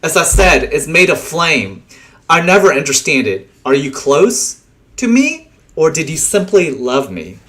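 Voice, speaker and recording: Guy reading poems out loud, really slow, pauses between bits, pitch goes up and down a lot. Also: big pitch swings. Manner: deliberate, pausing between short phrases. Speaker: poetry reader. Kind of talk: reading translated poems aloud.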